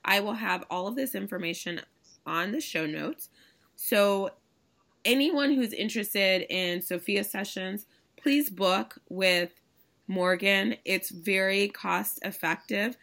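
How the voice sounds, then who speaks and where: A woman hosting a podcast in casual, chatty conversation, her voice raspy and hoarse from a recent illness. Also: mid-range voice, slow pace, fairly bright tone. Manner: chatty, laughing easily, enthusiastic. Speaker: podcast host. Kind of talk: casual chat.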